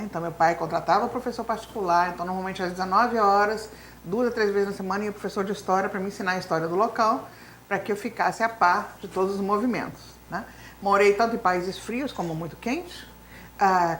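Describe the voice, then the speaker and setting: Woman in her fifties, Spanish native, reminiscing guest with a mid-range voice and faint background noise. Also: medium pitch. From Brazil. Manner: long storytelling, lots of fillers like né and então, assim. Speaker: retired professor, interview guest. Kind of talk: reminiscing life story in an interview.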